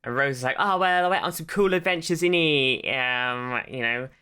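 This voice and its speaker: A podcast co-host giving a casual, rambling retelling in an animated, informal way, with some swearing. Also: mid-range voice, normal speed, clear, resonant tone. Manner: animated retelling, acts out quotes.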